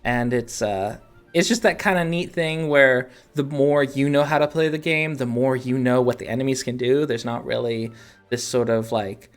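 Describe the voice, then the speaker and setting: Casual gaming podcast host, medium pitch, conversational delivery. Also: normal speed, faint music behind. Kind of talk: casual video game podcast chat.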